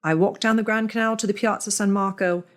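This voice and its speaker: A woman narrating a first-person story in a measured, deliberate reading with lingering pauses. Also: medium pitch, steady tone.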